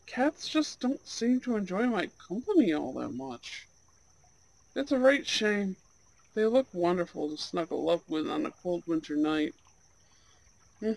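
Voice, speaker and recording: Performer playing a young Goth gentleman, soft-spoken, unhurried, courteous, charming, slightly old-fashioned wording, ASMR blind-date roleplay. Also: medium pitch, sing-song, gravelly, dry recording, full character voice, poor audio. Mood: playful, wistful. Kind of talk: ASMR roleplay, speaking to an unheard listener.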